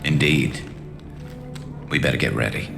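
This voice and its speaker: Super deep gravelly alien sniper from a video game, weary and wry, music playing behind. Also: male voice, heavily acted. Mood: grim, focused. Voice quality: gravelly, with a flanged alien resonance. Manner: dry, wry, measured, confiding. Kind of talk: video game cutscene acting.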